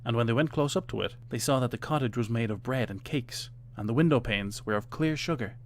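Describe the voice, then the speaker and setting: A man's voice: medium pitch, brisk, a little hiss or hum. A man reading a fairytale aloud as scripted storybook narration.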